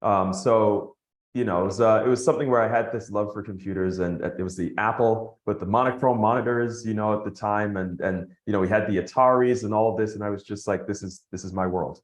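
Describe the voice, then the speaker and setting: Roomy-sounding man, animated storyteller. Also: on the low side, fairly quick. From Nigeria and the Bahamas. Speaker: guest, company founder. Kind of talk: podcast interview.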